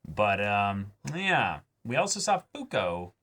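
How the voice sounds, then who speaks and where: Man, expressive reaction host, unhurried pace, lively intonation, casual delivery. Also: lowish voice. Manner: expressive, exclamatory. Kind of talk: casual chat reacting to anime.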